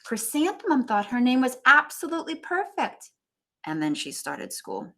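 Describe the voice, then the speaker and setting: A woman teacher reading a storybook aloud to young children, with expressive emphasis and chatty asides to them. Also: high voice, normal speed, a little hiss or hum.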